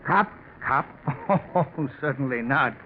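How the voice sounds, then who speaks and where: Muffled vintage-radio scheming, animated businessman. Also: mid-range voice, slow pace. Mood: falsely genial. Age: middle-aged. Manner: stammering, over-assuring, smooth-talking. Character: scheming middle-aged businessman, nervous, falsely genial. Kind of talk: 1940s radio acting. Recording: muffled, faintly noisy 1940s radio recording.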